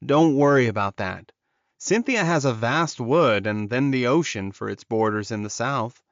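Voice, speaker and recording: A man's voice: medium pitch, lively intonation, moderate pace, no echo, dull audio, close to an everyday voice. A man voicing a lovelorn male werewolf in an audiobook, earnest and urging as he encourages his beloved. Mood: reassuring. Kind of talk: audiobook character dialogue.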